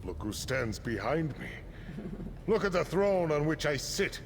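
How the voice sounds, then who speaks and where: An actor playing a gloating, menacing high priest in a video game, speaking in a pompous, grandiose way. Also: male voice, sing-song, a little slow, creaky, heavily acted. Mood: gloating triumph. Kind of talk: video game dramatic acting. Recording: long hall reverb, faint noise.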